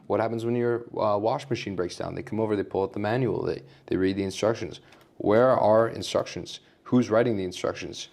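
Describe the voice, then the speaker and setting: A man's voice: fairly low pitch, brisk, vocal fry, a little mellow. A man who is a guest on a podcast, explaining his ideas earnestly through everyday examples. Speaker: podcast guest, agency founder. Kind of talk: casual conversation.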